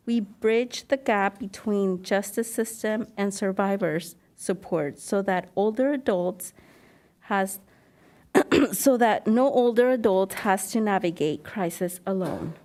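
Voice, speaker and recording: A woman getting over a cold, an elder abuse advocate giving an earnest prepared presentation at a podium mic in a council chamber, clearing her throat now and then. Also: mid-range voice, a little mellow. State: recovering from a cold. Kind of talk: formal presentation to a commission.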